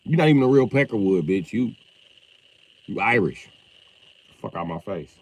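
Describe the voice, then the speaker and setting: A man chatting casually on a livestream, loud and profane, with an animated delivery. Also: fairly low pitch, moderate pace.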